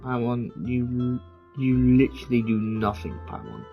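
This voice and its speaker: Very low-voiced woman gamer joking. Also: very animated, measured pace, vocal fry, lo-fi sound, soft background music. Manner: joking, teasing. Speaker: gamer commenting on play. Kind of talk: casual gameplay commentary.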